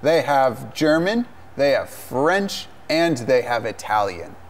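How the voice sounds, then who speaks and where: A man's voice: medium pitch, sing-song, takes it slowly, a little hiss or hum. A young man, a native English speaker, co-hosting a televised English lesson from a studio set in a friendly, upbeat way. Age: young adult. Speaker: English teacher co-host.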